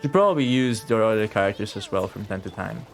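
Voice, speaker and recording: A man giving casual live commentary as a game streamer, reacting out loud and swearing freely. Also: on the low side, lively intonation, fast, creaky. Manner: profane, reactive, talks to game and viewers. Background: game music.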